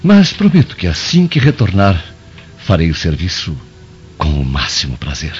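An actor playing a sly, obsequious Roman officer in a Brazilian radio soap, with an oily, conspiratorial manner. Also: male voice, deep voice, huge pitch swings, moderate pace, darkish tone. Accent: Brazilian Portuguese. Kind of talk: radio soap opera acting. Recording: dull lo-fi radio drama, music behind.

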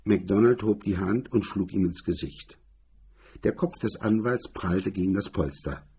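Male narrator, low-pitched, very husky, scripted crime story reading. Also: moderate pace, mellow.

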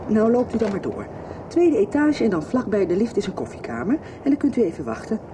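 An actress playing an officious but obliging hospital receptionist in a radio soap. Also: female voice, medium pitch, huge pitch swings, fairly quick. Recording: distant mic, noisy background.

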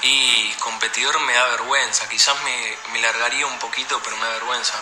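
A man's voice: mid-range voice, steady tone, a little fast. A man with an Argentine accent being interviewed, chatting casually with lots of slang. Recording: echoey, noisy on-location interview.

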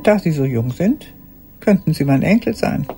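Einsame alte Dame, tief und lebhaft moduliert. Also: female voice, low voice, animated, normal speed, dark tone, soft background music. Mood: wistful, lonely. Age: older. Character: lonely, genteel old woman recalling her past. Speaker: actress in a radio play. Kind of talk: radio play monologue.

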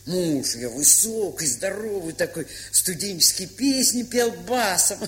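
A woman's voice: on the low side, expressive, a little slow, soft background music. An actress playing a bossy, affectionate old provincial aunt in a dramatised story, chatty and folksy in her scolding. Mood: wistful reminiscing. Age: older. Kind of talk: dramatised short story, stage-style audio acting. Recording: hissy, crackly vintage 1970s record.

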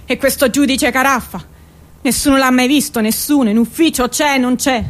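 A woman's voice: high voice, steady tone, normal speed, lightly acted. An actress playing a harried, aggrieved working-class mother in a stage monologue, lamenting in repetitive colloquial speech. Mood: worried, aggrieved.

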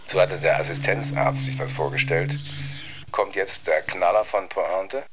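A man's voice: deep voice, animated, measured pace. A man telling a story casually in a rambling, colloquial way. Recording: noisy ambience behind the voice.

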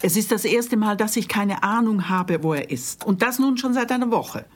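An actress playing a reserved, self-assured wife hiring a detective in a radio play, matter-of-fact and firm. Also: female voice, mid-range voice, animated, moderate pace, lightly acted. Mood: firm, worried. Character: self-assured, reserved married female client.

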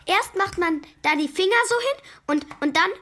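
A woman's voice: very high-pitched, expressive, moderate pace, very noisy. A woman playing a keen, easily offended little sister in a German children's radio play. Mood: eager, chatty.

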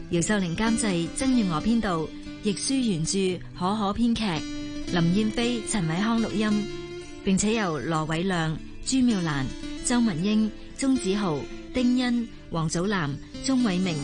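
A woman with a Hong Kong Cantonese accent reads closing credits in a measured, formal tone. Her voice sits in a middle pitch. Clear guitar music plays beneath her. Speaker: credits announcer. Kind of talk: formal announcement. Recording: announcement over guitar music.

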